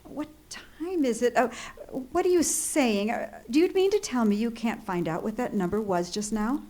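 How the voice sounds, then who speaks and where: A woman's voice: on the high side, moderate pace, darkish tone, natural voice. An actress playing a fretful, demanding woman on the telephone in an old-time radio thriller, agitated and insistent. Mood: exasperated, insistent. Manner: agitated, insistent, theatrical, stammering with alarm. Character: fretful, demanding, highly strung telephone caller in distress.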